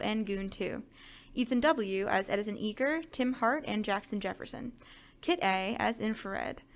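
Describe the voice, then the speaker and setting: A woman with a slightly high voice reads out scripted show credits. She works as the announcer, going through the text in an orderly way.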